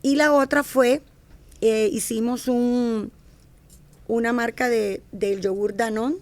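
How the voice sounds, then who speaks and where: A woman from America, middle-aged, sits as a guest on a podcast and talks about her career. Her voice sits a bit high for a woman. She often stops to correct herself and brings in quoted voices as she goes. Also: takes it slowly. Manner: animated, warm storyteller, acts out quoted speech, restarts and corrects herself. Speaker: podcast guest, fashion entrepreneur. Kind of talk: casual interview storytelling.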